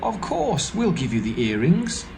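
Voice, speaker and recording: An actor voicing grateful Israelite men who speak with earnest eagerness in a dramatised scripture reading. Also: male voice, on the high side, huge pitch swings, a little slow, vocal fry, a little mellow, faint music behind, heavily acted. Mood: eager.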